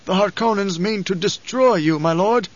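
A man voicing a loyal old advisor in an audiobook, grave and deferential, with a measured, formal, hesitant delivery. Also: high voice, lo-fi sound, pitched above the performer's own voice. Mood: insistent. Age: older.

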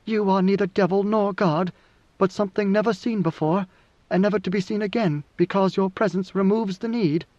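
A man's voice: high-pitched, moderate pace, darkish tone, no room sound, highs cut off, voice pushed up, natural voice. A man voicing a devoted, anxious young woman in a storybook reading, earnest, pleading and deferential. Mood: earnest, reverent.

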